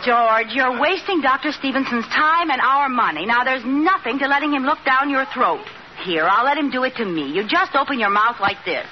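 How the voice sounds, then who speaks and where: An actress playing a scatterbrained, dramatic, wisecracking housewife in a 1950s radio comedy. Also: female voice, sits high, expressive, normal speed. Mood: exasperated. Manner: theatrical, exasperated, comic timing. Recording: noisy, dull old radio recording.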